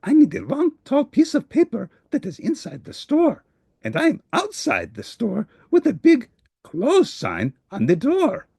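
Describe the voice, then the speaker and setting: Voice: medium pitch, wildly swooping pitch, measured pace, gravelly, warm tone, husky, no room sound, studio quality, pitched above the performer's own voice, heavily acted. A performer voicing an eager, anxious, polite furry monster for a storybook, with formal, dramatic exclamations. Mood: dismayed, sad. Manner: exclaiming, formal, dramatic wording without contractions. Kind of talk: storybook character voice.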